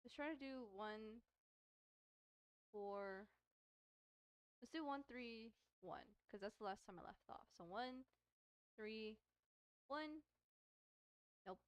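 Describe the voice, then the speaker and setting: A woman's voice: a little high, slow, long pauses, smooth, dry recording, rough recording. A woman chatting casually as she hosts a gaming let's-play, rambling hesitantly and often correcting herself. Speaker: game streamer, host.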